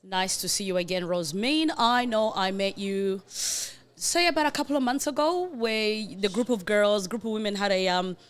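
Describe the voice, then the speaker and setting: A woman co-hosting a casual podcast on location, playful and self-assured, quick to chime in with short affirmations. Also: mid-range voice, clear, resonant tone. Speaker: podcast co-host. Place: community centre building, on location.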